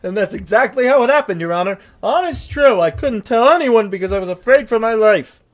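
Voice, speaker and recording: A man's voice: high voice, lively intonation, brisk. A man voicing a jittery, guilt-ridden talking horse who tells his tale theatrically in a cartoon fandub. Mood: pleading. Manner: theatrical storytelling with stammers. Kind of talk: dramatic fandub narration.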